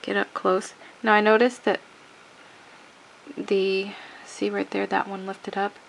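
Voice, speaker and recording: A sniffly woman shows her craft work at a home table, and her slow voice has a gravelly edge. She rambles and restarts often, with a faint hiss under the camera mic. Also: medium pitch, flat delivery, low-quality recording. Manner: rambling, halting, with many ums and restarts. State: sniffly with a cold. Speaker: craft tutorial maker. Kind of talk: casual hands-on tutorial.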